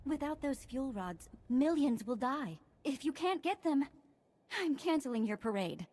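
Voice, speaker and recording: A woman's voice: high-pitched, lively intonation, a little slow, darkish tone, no echo. An actress playing a stern, urgent female ally in a video game.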